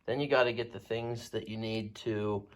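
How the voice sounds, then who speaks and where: A man's voice: fairly low pitch, level tone, moderate pace. A man helping present a lesson, explaining things to children in a casual, plain-spoken way.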